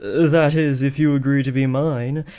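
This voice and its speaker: A man's voice: medium pitch, steady tone, normal speed, warm tone, close to an everyday voice. A young man playing an awkward, lovestruck teenage would-be supervillain in a comedic audio roleplay, switching between theatrical menace and hesitant rambling. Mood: earnest, nervous. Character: awkward lovestruck teenage boy, aspiring supervillain, eager, insecure. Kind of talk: comedic roleplay audio drama addressed to the listener.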